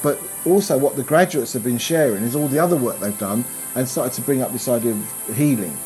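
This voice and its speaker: A man, a business coach, talking in a relaxed conversation, rambling and restarting his sentences, growing animated when he makes a point. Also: mid-range voice, a little fast, soft background music, poor audio. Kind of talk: casual conversation.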